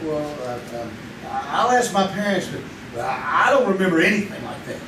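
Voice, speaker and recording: A man's voice: fairly high pitch, very animated, fairly quick. A man playing a nervous young man who stammers, acted live on stage as old-time radio drama. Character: jittery young man hiding a secret. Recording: echoey stage, distant mic, noisy.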